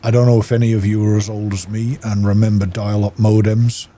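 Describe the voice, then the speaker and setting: A man's voice: fairly low pitch, flat delivery, brisk, warm tone, a little hiss or hum. A middle-aged man giving casual gameplay commentary, rambling and thinking aloud. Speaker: gaming YouTuber.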